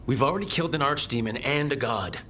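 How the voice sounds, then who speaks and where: A man playing a resolute adventurer and leader in a fantasy video game, speaking in a measured, earnest way. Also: medium pitch, lively intonation, sharp tone, a little hiss or hum. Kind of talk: acted game dialogue. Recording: game audio with clear background ambience.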